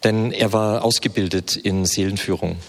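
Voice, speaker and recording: Male sermon interpreter, lowish voice, fluent with hesitation fillers, long hall reverb. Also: steady tone, moderate pace.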